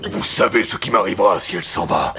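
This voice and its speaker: An actor voicing a gruff, impatient cursed beast lord who gives curt orders in a dubbed fairy-tale film. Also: male voice, deep voice, quick pace, music in the background. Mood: commanding. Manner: curt, issues blunt orders. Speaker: lord of the castle. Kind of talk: film dub acting.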